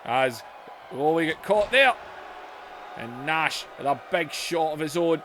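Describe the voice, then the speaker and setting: A man commentating a wrestling video game, shouting at big moves as his voice goes hoarse. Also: fairly high pitch, lively intonation, takes it slowly, some chatter behind, a little hiss or hum. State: voice going hoarse.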